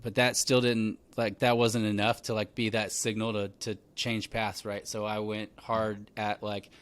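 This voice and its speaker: A man in his thirties hosting a podcast, telling stories in a relaxed chatty way full of "like" and "man". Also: lowish voice, unhurried, smooth. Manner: relaxed storytelling, lots of fillers like "like" and "man". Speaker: podcast host. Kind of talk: casual conversational interview.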